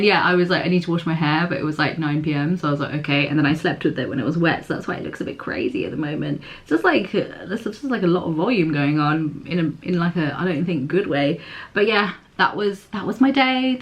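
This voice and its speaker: A young woman with a husky, hoarse voice, chatting casually to camera in a vlog and using lots of fillers. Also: fairly low pitch. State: hoarse, recovering voice. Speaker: vlogger.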